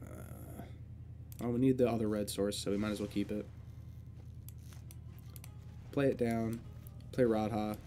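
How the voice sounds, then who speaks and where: A young man with a congested voice and a sore throat, casually talking through a digital card game as he plays and thinks out loud. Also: lowish voice. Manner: relaxed, thinking aloud while playing. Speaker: gaming video creator.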